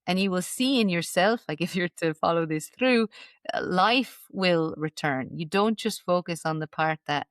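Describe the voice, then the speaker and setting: A woman's voice: lowish voice, moderate pace, smooth, no echo, studio quality. A woman guest in a podcast interview, talking earnestly about mind-body healing and often checking in with "right?". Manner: earnest, frequent "right?" tag questions. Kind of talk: reflective interview talk.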